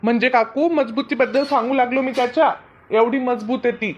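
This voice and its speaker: A man's voice: extremely high pitch, fairly even intonation, a little fast, a little hiss or hum. A man voicing a persistent, wheedling young bag seller in a comedy cartoon, persuasive and protesting, on a lo-fi recording. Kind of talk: Marathi comedy cartoon dub.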